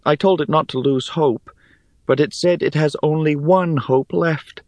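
A man's voice: on the high side, fairly even intonation, measured pace, a little mellow, no room sound, voice pushed up. A man voicing an earnest, emotional young woman in a fantasy audiobook, with an intense, heartfelt manner. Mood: sorrowful. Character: earnest, emotional young female friend of the hero.

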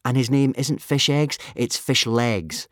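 A man's voice: mid-range voice, unhurried, no room sound, studio quality, voice pushed up, natural voice. A man voicing a polite, earnest, worried young son of a Viking chief in a children's audiobook.

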